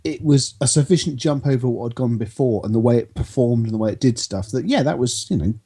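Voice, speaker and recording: A man co-hosting a podcast, rambling and halting as he thinks aloud. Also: mid-range voice, animated, mellow, breathy edge. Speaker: podcast co-host. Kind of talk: casual podcast chat.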